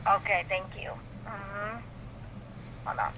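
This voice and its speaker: A woman working as a call center representative, speaking haltingly on a customer service phone call. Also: mid-range voice, steady tone. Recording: noisy telephone line.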